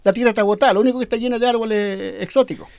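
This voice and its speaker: A man's voice: high-pitched, fairly even intonation, rapid-fire, a little mellow, no echo, studio quality. A man from Chile, a Mapuche cacique, answering interview questions firmly, often repeating and stumbling over words.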